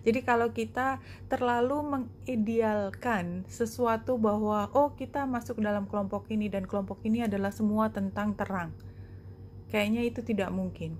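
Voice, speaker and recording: A woman giving a spoken card reading to viewers, explaining reflectively in short phrases with pauses. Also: medium pitch, darkish tone, a little hiss or hum. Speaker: tarot and oracle card reader.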